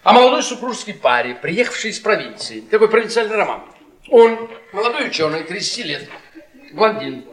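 An actor playing a bitter middle-aged husband who tells cruel, witty stories with theatrical mockery in a Russian radio drama. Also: male voice, sits high, big pitch swings, brisk. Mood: sardonic. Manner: theatrical, sardonic, taunting. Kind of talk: Russian stage-style radio drama acting. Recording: echoey theatre, distant mic, audience noise.

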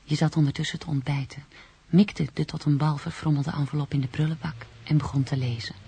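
Husky, breathy storyteller. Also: female voice, low-pitched, fairly even intonation, a little fast, dark tone, exaggerated voice. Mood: hushed, measured. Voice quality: breathy, half-whispered. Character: hushed, watchful storyteller addressing the hero. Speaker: narrator. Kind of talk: scripted second-person radio drama narration.

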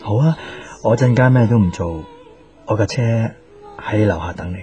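Low-voiced lovesick young man, played by an actor. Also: normal speed, mellow, soft background music, lightly acted. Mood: eager. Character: lovesick workaholic young man, an office boss. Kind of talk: Cantonese radio drama acting.

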